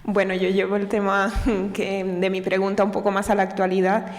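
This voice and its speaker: A woman's voice: mid-range voice, completely flat delivery, fairly quick, a little hiss or hum. A woman from Cuba with a Cuban accent asking a hesitant question from the audience at a lecture. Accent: Cuban Spanish. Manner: hesitant, searching for words, tag questions. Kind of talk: question from the floor. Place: large lecture hall. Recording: roomy hall with long reverb.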